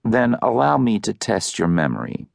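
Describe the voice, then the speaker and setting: A man acts out an elderly lawyer from an audiobook, shrewd and coolly probing. The voice is low and creaky, with formal, deliberate phrasing and a dry, close sound. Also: sing-song, dry recording, heavily acted.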